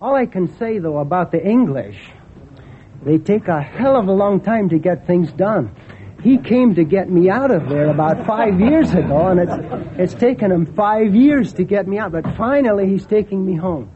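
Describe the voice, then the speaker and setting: High-voiced man joking wryly, tinny sound. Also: animated, normal speed. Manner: wry, joking. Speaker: released hostage. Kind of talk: joking press remarks. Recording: tinny phone-like audio with noise.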